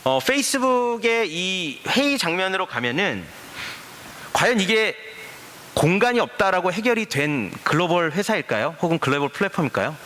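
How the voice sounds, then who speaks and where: Lively, bright-voiced man giving a talk. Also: sits high, slight background noise. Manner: lively explanatory talk with rhetorical questions. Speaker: forum speaker. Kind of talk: conference presentation. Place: conference stage.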